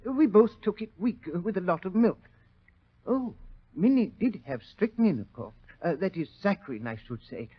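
A man's voice: sits high, very animated, normal speed, slightly rough, exaggerated voice. An actor playing a meek, flustered, fussy man who dithers and hesitates, in 1940s radio drama style. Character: meek, fussy, flustered brother of poisoning victim. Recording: muffled old-time radio recording.

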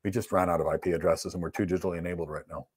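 A man's voice: low-pitched, fairly even intonation, very fast, breathy edge. A middle-aged man teaching networking on a live stream, answering viewer questions in a blunt, emphatic, matter-of-fact way. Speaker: networking instructor. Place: indoor studio with virtual backdrop.

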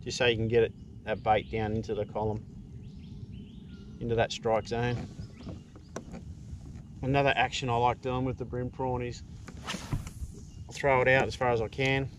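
A middle-aged man with an Australian accent explains his fishing technique in a casual, unhurried way. His voice sits at an ordinary male pitch. He is recorded outdoors on a kayak by an action camera, with noticeable noise behind him. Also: little pitch movement. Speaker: fishing vlogger. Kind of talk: casual tutorial chat while fishing. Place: outdoors in a kayak on open water.

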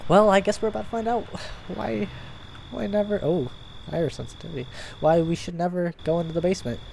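A young man with a stuffy nose, chatting and reacting out loud to scares as he plays a horror game at home. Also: sits high, steady tone, fairly quick, gravelly, slight background noise, rough recording. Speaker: gaming YouTuber. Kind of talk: horror game let's play commentary. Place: home gaming room, indoors.

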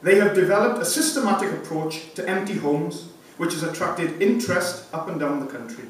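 Echoey, higher-pitched man giving a formal speech. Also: fairly quick. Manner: formal, reading from prepared notes. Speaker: sponsor presenting an award. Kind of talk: scripted award presentation speech. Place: conference room, indoors. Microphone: lectern mic, picked up by distant camera. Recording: echoey room from far off, hissy background noise.

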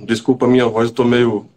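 A man from Bahia, a writer guest, speaking haltingly over a video call while recovering from a cold. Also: medium pitch, fairly even intonation, a little mellow, slightly rough. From Bahia, Brazil. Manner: halting, repeats words while searching. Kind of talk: casual video-call interview. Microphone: video call from home.

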